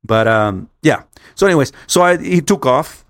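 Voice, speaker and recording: A man's voice: mid-range voice, expressive, normal speed, a bit bright, studio quality. The guy on a personal vlog recounting his day in stop-start, sweary loops, a Spanish speaker, with no room echo at all. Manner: rambling, repetitive, stop-start with frequent swearing. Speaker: vlogger. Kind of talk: casual storytelling to viewers.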